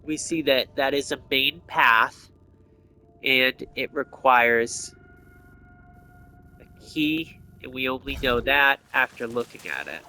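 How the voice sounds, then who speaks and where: A man's voice: medium pitch, slow, pushed and bright, faint music behind. A man giving casual gameplay commentary, explaining things and thinking aloud as he plays.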